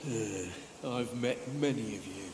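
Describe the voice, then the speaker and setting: An actor playing a sly, knowing demon who drawls dismissively. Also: male voice, fairly low pitch, a little slow, darkish tone, slight background noise, close to an everyday voice. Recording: echoey hall with long reverb.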